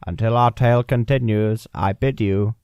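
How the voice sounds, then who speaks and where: A man playing a solemn, grand storybook narrator of a fantasy tale, measured and scripted. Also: fairly low pitch, lo-fi sound, very noisy, lightly acted.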